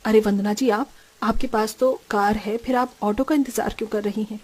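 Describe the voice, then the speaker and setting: A woman's voice: medium pitch, fairly even intonation, moderate pace, darkish tone, lightly acted. A woman voicing a bossy, gossipy but caring older mother-in-law in a Hindi cartoon story. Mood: kindly.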